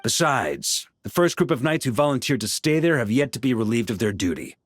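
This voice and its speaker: An actor playing a relaxed, good-humored grandmaster knight, speaking in an easygoing, wry way. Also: male voice, medium pitch, a little fast, no room sound. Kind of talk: game voice acting.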